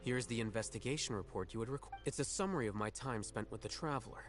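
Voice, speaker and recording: A male actor playing a composed, coolly defiant scholar in a video game, with measured, articulate delivery and music underneath. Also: mid-range voice, close to an everyday voice. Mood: composed, matter-of-fact. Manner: measured, matter-of-fact, articulate. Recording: game dialogue over music.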